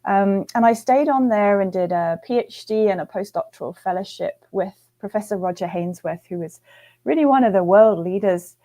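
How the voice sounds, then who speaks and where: A middle-aged woman from the UK with a light Northern English accent, a guest researcher explaining things in a relaxed, thoughtful way over a video call. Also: mid-range voice, normal speed. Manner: warm, laughing, thoughtful with fillers. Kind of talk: conversational explaining.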